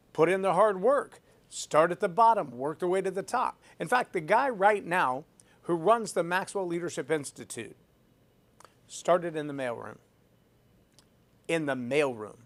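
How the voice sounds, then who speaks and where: A man hosting a leadership podcast, talking emphatically and repeating phrases for effect as he tells a personal story. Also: on the high side. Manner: self-described loud voice. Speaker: podcast host.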